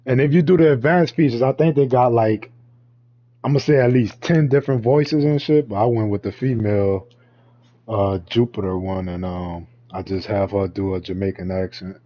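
A man's voice: fairly low pitch, fairly even intonation, normal speed, warm tone. A man in casual chat, talking in heavy slang with lots of swearing and frequent laughter. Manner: slangy, profane, laughs often.

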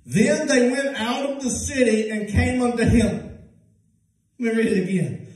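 A man in his thirties with a Southern US accent, preaching a sermon at a church pulpit. He swings from loud, high-pitched preaching to low, soft passages. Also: measured pace. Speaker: pastor. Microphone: handheld mic, filmed from far off. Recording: echoey church from far off.

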